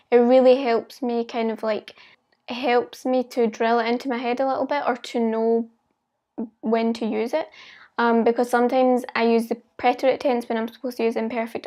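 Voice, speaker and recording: A young woman with a light Scottish accent talks casually to her viewers. Her voice sits fairly high and moves very little in pitch. She sounds like a language vlogger chatting informally. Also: darkish tone. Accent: mild Scottish. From Scotland. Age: young adult.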